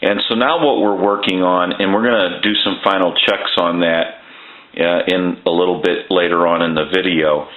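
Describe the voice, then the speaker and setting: A man walking through a telephone repair in his workshop, explaining each step plainly and pausing often with "uh". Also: sits low, steady tone, echoey room, phone-quality sound.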